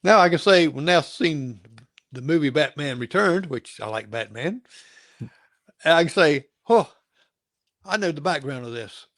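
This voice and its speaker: Host, male, from North Carolina, slightly high voice, dry close mic, rambling and chuckly. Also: lively intonation, moderate pace, long pauses, a bit bright, no echo. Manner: rambling, chuckling often, many restarts. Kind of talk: casual interview chat.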